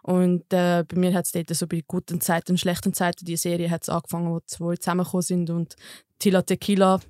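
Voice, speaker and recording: A woman in her twenties from Zurich with a Swiss German accent, hosting a casual podcast chat, frank, quick to laugh and swearing casually. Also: on the low side, monotone, a little mellow, dry recording, clean studio sound. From Zurich, Switzerland. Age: 20s. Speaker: podcast host.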